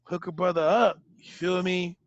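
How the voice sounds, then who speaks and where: A man co-hosting a podcast, talking in a loud, joking way with quick bursts of laughter. His voice sits a little high for a man. The sound is dry and close. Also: fairly even intonation, no echo, lo-fi sound. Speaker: podcast co-host. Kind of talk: casual chat.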